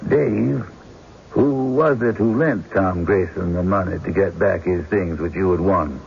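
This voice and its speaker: An actor playing a formal, probing prosecutor with a deliberate official manner in a radio drama. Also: male voice, sits low, gravelly. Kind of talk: 1970s radio drama acting. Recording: muffled, noisy old radio broadcast.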